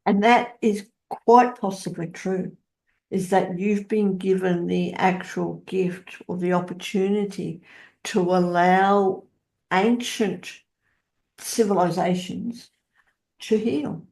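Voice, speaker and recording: A woman hosting a spiritual interview, speaking solemnly in halting, short phrases. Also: medium pitch, fairly even intonation, vocal fry, a little mellow, rough recording. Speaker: interview host.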